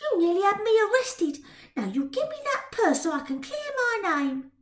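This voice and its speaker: A woman voicing an indignant, feisty young Victorian orphan girl with sharp, defiant exclamations, in a storybook reading. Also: extremely high pitch, big pitch swings, moderate pace, voice pushed up. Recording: roomy indoor echo.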